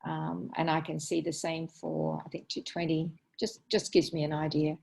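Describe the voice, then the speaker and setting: Gravelly woman chairing an online meeting. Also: low voice, little pitch movement, darkish tone, low-quality recording. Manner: halting, full of ums and restarts. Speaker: meeting coordinator. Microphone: video call.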